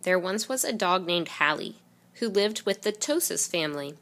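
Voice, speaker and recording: Bright-voiced woman reading a picture book. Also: lowish voice, moderate pace. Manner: expressive, storytelling delivery. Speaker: reader.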